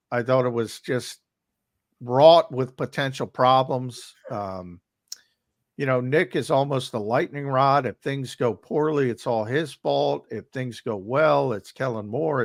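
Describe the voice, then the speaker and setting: A man hosting a casual sports talk show, losing his voice a bit, animated with quick interjections. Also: mid-range voice, dry recording, clean studio sound.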